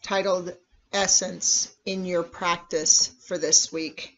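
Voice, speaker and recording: Slow, dull-sounding meditation guide. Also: mid-range voice, level tone, fairly bright tone. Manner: measured, instructional, with long pauses. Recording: dull, lo-fi recording.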